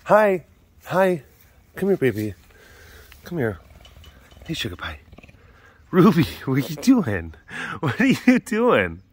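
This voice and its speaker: A man with a slightly hoarse voice rambles casually while filming outdoors at animal enclosures, talking softly to the animals between thoughts. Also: on the high side, wildly swooping pitch, slow pace, pauses often. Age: adult, getting older. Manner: rambling and chatty, softening into baby talk with the animals. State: slightly hoarse, partly lost voice. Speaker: big cat sanctuary keeper and vlogger. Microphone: handheld camera mic, speaker off camera.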